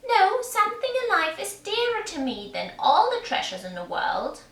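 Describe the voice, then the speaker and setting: A woman's voice: very high voice, wildly swooping pitch, moderate pace, a bit bright, voice pushed up, heavily acted. A woman voicing a demanding, gleeful little mannikin man in a fairy tale, taunting and emphatic, in an echoey room. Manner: taunting, emphatic, singsong questions and refusals. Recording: echoey room, distant microphone.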